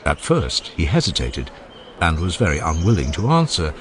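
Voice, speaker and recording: A man reading dramatized scripted narration in a formal, expressive storytelling manner. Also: low-pitched, normal speed, a little hiss or hum. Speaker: audiobook narrator.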